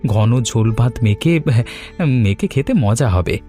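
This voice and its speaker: A man's voice: mid-range voice, slow, soft background music. A man playing a devoted, food-loving Bengali son in a cartoon, affectionate and contented in manner. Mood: pleased. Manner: affectionate, expressive. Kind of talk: animated moral story voice acting.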